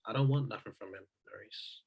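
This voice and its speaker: A man's voice: lowish voice, a little fast, creaky, a little mellow. A man playing a guarded, weary brother in a drama table read, speaking tersely in casual slang. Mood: guarded, dismissive. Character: guarded, weary brother, stubborn and self-reliant. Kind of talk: scripted table read acting.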